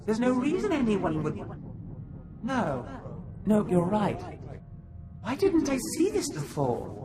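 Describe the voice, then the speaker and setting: With prominent music behind him, a sly, scholarly male Sith speaks in an articulate, theatrical way. His pitch swings expressively through the lines, as in a dramatic video game cutscene. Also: huge pitch swings, takes it slowly, heavily acted. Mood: puzzled, intent.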